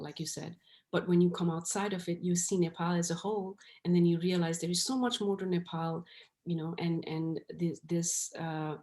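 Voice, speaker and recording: Host, female, deep and flat voice, measured moderator. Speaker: panel host. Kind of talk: moderating a discussion.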